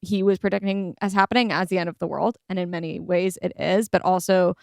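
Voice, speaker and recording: A woman's voice: lowish voice, level tone, a little fast, vocal fry, darkish tone, clear, resonant tone, no echo, clean studio sound. A woman on a podcast panel, talking through ideas casually and analytically, with emphatic, informal phrasing.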